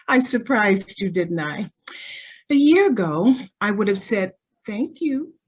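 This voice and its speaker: A woman's voice: medium pitch, big pitch swings, slow pace, darkish tone, breathy edge, heavily acted. An actress playing a naive, self-assured and sheltered teenage rich girl in an old-time radio drama, speaking with prim artlessness.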